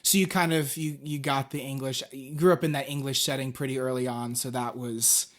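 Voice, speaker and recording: A man's voice: mid-range voice, fairly even intonation, a little fast, a bit bright. A man hosting an interview, asking friendly, rambling questions with restarts. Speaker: interviewer, host.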